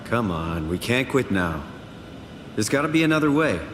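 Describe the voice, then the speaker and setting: A man's voice: medium pitch, very animated, moderate pace, fairly bright tone, a little hiss or hum. An actor playing a wry, uneasy everyman survivor in a sci-fi horror video game, speaking in an incredulous, conversational way. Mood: determined. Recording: echoey, long reverb, music behind.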